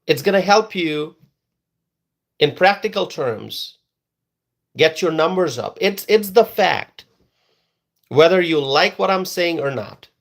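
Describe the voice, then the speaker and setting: A man's voice: fairly high pitch, lively intonation, slow, vocal fry, pauses often, a bit bright. A man whose first language is Bengali, giving an emphatic political opinion monologue and repeating words for stress. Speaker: political commentator.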